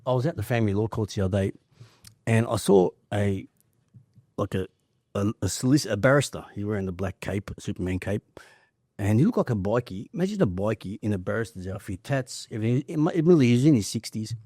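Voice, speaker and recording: A man's voice: lowish voice, lively intonation, brisk, warm tone, breathy edge, no room sound. Male podcast host, casual storyteller, sweary and blunt, dry studio-close sound.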